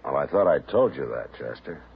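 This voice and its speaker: An actor playing a weary, steady frontier marshal in a 1950s radio drama, speaking in a calm, measured, dry way. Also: male voice, rumbling low, creaky, slightly rough, heavily acted. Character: weary, steady frontier lawman, grown man. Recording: muffled old radio broadcast, faint hiss.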